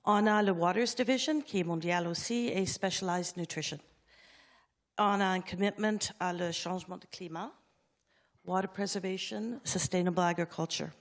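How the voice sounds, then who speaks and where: Panelist, a woman with a lowish voice who mixes into hesitant French. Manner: halting French mixed with English words. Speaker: conference panelist. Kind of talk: conference panel talk.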